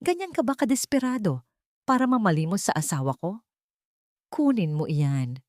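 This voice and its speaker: A woman voicing a cold, powerful tycoon husband who makes calm, commanding threats in an audio drama. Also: mid-range voice, normal speed, no echo, clean studio sound, voice pushed up, natural voice.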